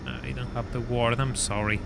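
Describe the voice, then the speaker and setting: A man's voice: mid-range voice, flat delivery, brisk, vocal fry, noisy background. A young man from Argentina, chatting casually while streaming a racing game, laughing often and stammering over repeated words. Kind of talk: casual live-stream chat and gameplay commentary.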